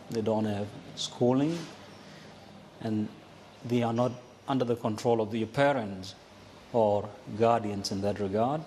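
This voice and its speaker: A man's voice: on the low side, long reverb tail, background noise. A man speaking as a community leader in an interview, earnest and concerned.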